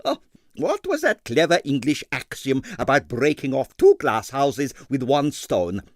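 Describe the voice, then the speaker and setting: A man voicing an excitable, self-satisfied foreign ballet impresario in a comic audiobook. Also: mid-range voice, a little slow, no room sound, studio quality. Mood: pleased.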